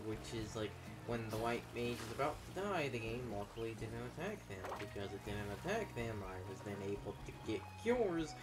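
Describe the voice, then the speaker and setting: A man gives casual, unscripted game commentary over clearly audible music. His pitch sits in the middle range, and he talks in a halting way, often repeating words as he works through a point. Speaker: gaming commentator. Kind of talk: casual unscripted gaming chat.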